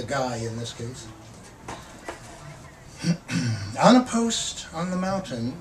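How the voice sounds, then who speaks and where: A man who is a poet reading his own work aloud at a live reading, with expressive, theatrical emphasis. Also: mid-range voice. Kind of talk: live poetry reading with brief spoken introductions. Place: public poetry reading in a bookstore. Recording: distant mic, noisy room ambience.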